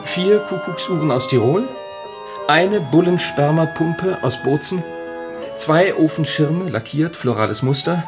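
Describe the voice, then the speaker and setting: An actor playing a dry, dutiful, easily flustered English assistant in a radio play, speaking stiffly and slipping into English. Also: male voice, on the high side, lively intonation, measured pace. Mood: dry, reporting. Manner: itemising, stiff, slips into English. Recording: music behind the voice.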